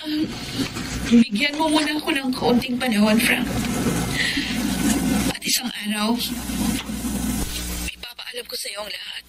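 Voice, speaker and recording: A woman's voice: a little high, measured pace, pauses often, natural voice. A woman acting a hesitant, sorrowful young woman torn between lovers in a Tagalog radio drama. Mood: hesitant, sad. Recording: very noisy background.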